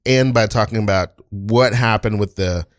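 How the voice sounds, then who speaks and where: A male podcast host with a fairly low voice, recorded close and dry. He talks casually and haltingly, often backing up to rephrase what he has started. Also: dry recording.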